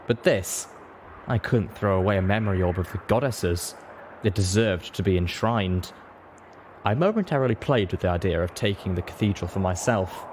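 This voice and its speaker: A man's voice: low voice, a little mellow. A man narrating a first-person story from a script as an audiobook reader. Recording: echoey room with clear background noise.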